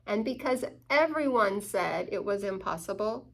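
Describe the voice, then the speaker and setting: A woman's voice: mid-range voice, animated, normal speed, vocal fry, rough recording. A woman hosting children's story time, reading a picture book with dramatic emphasis and questions for young listeners.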